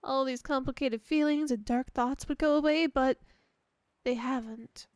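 A woman's voice: high voice, fairly even intonation, a little slow, a little mellow, clear, resonant tone, dry recording, studio quality. A woman playing a clingy, obsessive young girlfriend in an ASMR roleplay, sweet, gushing and emotional, with an apologetic, hesitant way of talking. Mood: fragile, confessing.

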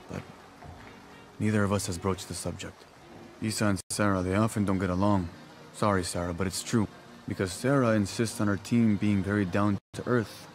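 Game supporting role: earnest, anxious male researcher, lowish voice with slight background noise. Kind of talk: video game cutscene acting.

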